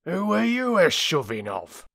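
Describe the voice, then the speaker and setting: A man voicing a cross, burly middle-aged bargeman who growls in rough working-class speech. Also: sits high, huge pitch swings, a little slow, a bit bright, dry recording, clean studio sound, voice pushed up, heavily acted. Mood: scolding. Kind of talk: audiobook character voice.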